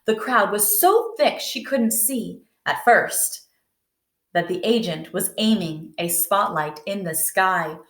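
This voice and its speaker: A woman's voice: mid-range voice, takes it slowly, fairly bright tone. A woman reading a children's story aloud, narrating expressively. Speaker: storybook reader. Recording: roomy indoor echo.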